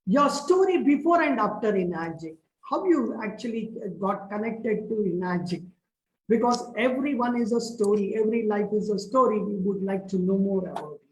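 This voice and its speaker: A woman's voice: mid-range voice, lively intonation, normal speed, darkish tone, echoey room, slight background noise, low-quality recording. A woman hosting an online talk show, introducing her guest in an upbeat, rousing way. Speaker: host.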